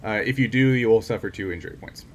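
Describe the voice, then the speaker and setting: A man's voice: lowish voice, fast talker. Man game master, noisy background. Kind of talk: casual narration of a tabletop role-playing game.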